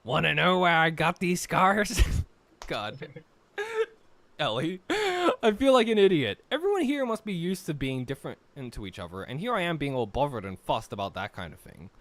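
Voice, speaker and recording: A man chatting casually with friends while playing a game and reading its text aloud, animated and exclamatory. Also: a little high, normal speed. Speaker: host of a group visual novel playthrough. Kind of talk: casual group gaming chat with reading aloud.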